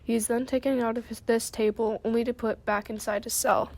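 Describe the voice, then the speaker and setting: A woman's voice: a little high, flat delivery, a little fast, gravelly, a little mellow. Young woman narrating in a reverberant hall. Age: young adult. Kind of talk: scripted story narration. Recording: noisy hall with long reverb.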